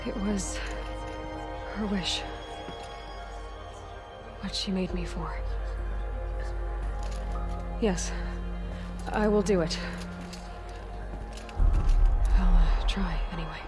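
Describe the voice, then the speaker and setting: Earnest young huntress, music behind. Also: female voice, fairly low pitch, fairly even intonation, slow pace, pauses often. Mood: hesitant, subdued. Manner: earnest, plain-spoken. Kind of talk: scripted video game voice acting.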